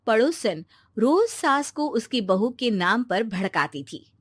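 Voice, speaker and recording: A woman's voice: a little high, sing-song, slow pace, breathy edge, no echo, studio quality. A woman narrating a scripted children's story.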